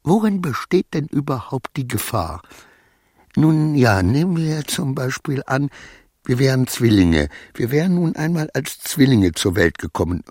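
An actor playing a wronged, anxious petty clerk in a radio play, protesting formally and fretting to himself. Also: male voice, mid-range voice, sing-song, a little slow, darkish tone, no room sound, clean studio sound. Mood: agitated self-persuasion. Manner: stiffly formal protests, agitated self-justifying muttering. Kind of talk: German radio play acting.

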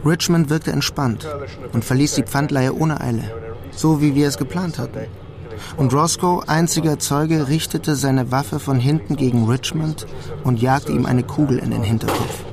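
A man playing a bitter New York criminal who narrates a crime radio play in a matter-of-fact, deliberate way. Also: mid-range voice, gravelly, crowd noise, close to an everyday voice. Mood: grim. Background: music behind the voice.

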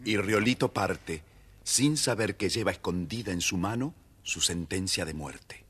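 A man's voice: deep voice, unhurried, fairly bright tone, husky. A man narrating a scripted children's story expressively.

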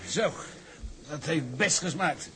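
A man's voice: a little high, expressive, slow pace, a bit bright, exaggerated voice. An actor playing an easygoing, talkative seaman in a radio drama, wry and reassuring. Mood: wry, probing. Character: easygoing, talkative male seaman, pragmatic confidant. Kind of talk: Dutch radio drama acting.

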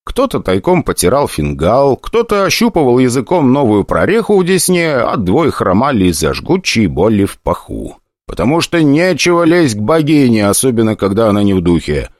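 A man narrating a fantasy audiobook in an expressive, ironic storytelling manner. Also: medium pitch, moderate pace, few pauses, dry recording, clean studio sound, voice pushed up, close to an everyday voice.

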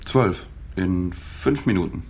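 An actor playing a reserved journalist who narrates a radio drama in the first person, restrained and matter-of-fact. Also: male voice, low voice, slow, a little mellow, slight background noise. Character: reserved, observant male journalist recounting a sad story.

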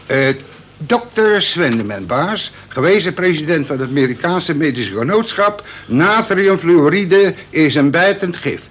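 Wily convict, high animated voice. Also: male voice, unhurried, a little hiss or hum. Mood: pedantically reciting. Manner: pedantic, over-formal recital mixed with prison slang. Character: wily, mock-deferential veteran male convict lodging a complaint. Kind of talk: Dutch radio play acting.

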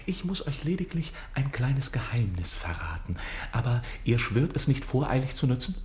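An actor in a German radio play playing a cunning, brazen servant, roguish and mocking, with a sly theatrical delivery. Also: male voice, medium pitch, big pitch swings, moderate pace, darkish tone, a little hiss or hum, natural voice. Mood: sly, persuasive.

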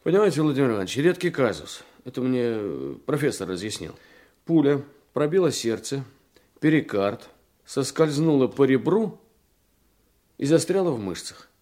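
Male actor as diligent detective subordinate, mid-pitched, eager, deferential, explanatory, radio play. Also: big pitch swings, moderate pace, warm tone, close to an everyday voice. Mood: explaining. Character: earnest, diligent male detective reporting to his chief. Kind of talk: Russian radio drama acting.

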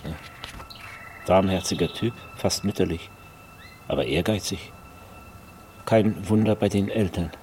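A man's voice: low-pitched, unhurried, long pauses, a little mellow, a little hiss or hum. An actor playing a matter-of-fact male homicide detective in a naturalistic radio drama, with a businesslike, conversational manner.

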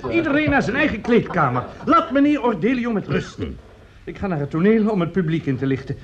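A high-voiced, fussy theatre official. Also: male voice, very animated, a little fast, fairly bright tone, highs cut off, slight background noise. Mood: decisive, soothing. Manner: managing, soothing. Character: fussy but conciliatory male theatre official taking charge. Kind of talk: radio play acting.

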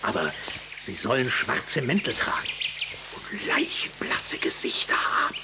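Animated, adventurous dad. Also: male voice, mid-range voice, a little slow, noisy background. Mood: intrigued. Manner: eager, theatrical storytelling. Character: Anton's father, adventurous, enthusiastic, dismissive middle-aged dad. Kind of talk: German radio play dialogue.

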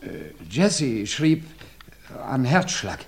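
A man's voice: mid-range voice, sing-song, very slow, heavily acted. An anxious, earnest visitor in an old radio crime play. He speaks hesitantly yet urgently, in a middle-range voice. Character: earnest, anxious man reporting a murder.

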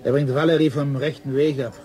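An actor playing a pompous, quarrelsome know-it-all at the pub in a radio drama, mocking and theatrical. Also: male voice, on the high side, fairly even intonation, a little fast, warm tone, close to an everyday voice. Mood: indignant, mocking.

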